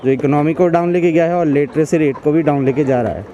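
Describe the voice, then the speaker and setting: A man's voice: on the high side, fast, lo-fi sound, crowd noise. A young man in his twenties, a student answering street interview questions outdoors in a park, earnest and hesitant, mixing English into his Hindi.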